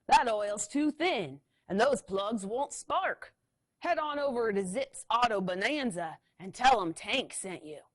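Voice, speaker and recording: A woman voicing a big, mean male monster truck who talks slowly and gruffly. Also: a little high, animated, no echo, voice pushed up. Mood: sly.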